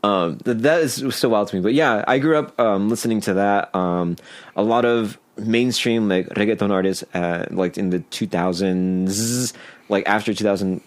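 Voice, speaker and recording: A man whose first language is Spanish, a podcast guest chatting casually and telling stories in animated, slangy talk full of filler "like". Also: fairly low pitch, moderate pace. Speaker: podcast guest, singer and songwriter. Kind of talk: casual chat.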